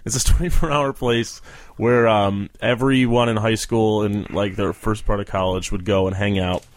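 A man's voice: fairly low pitch, steady tone, moderate pace. A young American man with a slightly nasal voice, hosting a casual, bantering interview. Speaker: talk show host. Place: small apartment, indoors.

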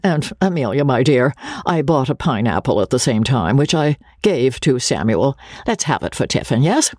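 A man's voice: medium pitch, very animated, normal speed, a little mellow, no echo, studio quality. A man voicing a pompous, bashful colonial official in a storybook, blustering, giggly and exclamatory. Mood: flustered.

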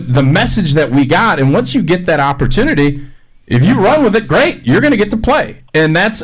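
A man's voice: a little high, poor audio. An American man, a former football player, chatting casually on a sports panel in plain-spoken, emphatic short phrases.

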